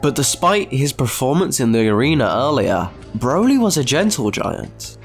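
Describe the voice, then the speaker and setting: Male story narrator over faint music. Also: mid-range voice. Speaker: narrator and channel host.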